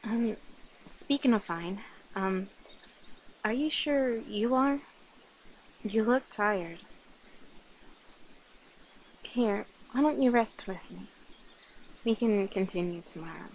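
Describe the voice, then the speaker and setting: A woman voicing a sweet, caring vampire in a soft, hesitant ASMR roleplay. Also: mid-range voice, takes it slowly, long pauses, slight background noise, rough recording. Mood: tender, caring.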